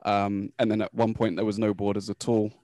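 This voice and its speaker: A man with a low, even voice joins a casual podcast chat. His comments are short and relaxed, and his sound is dry and close, with no room echo. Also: fairly quick.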